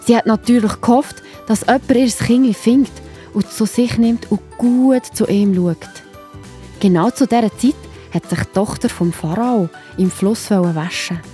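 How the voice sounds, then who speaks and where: A woman's voice: a little high, unhurried, dark tone, soft background music. A woman with a Swiss accent narrating a children's story in a chatty way, speaking directly to her listeners.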